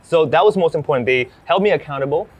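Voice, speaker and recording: A man's voice: a little high, fast. A man in his thirties from Hong Kong, a comedian chatting casually and acting out bits of dialogue as he talks. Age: 30s. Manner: animated storytelling, acts out dialogue. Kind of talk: casual chat.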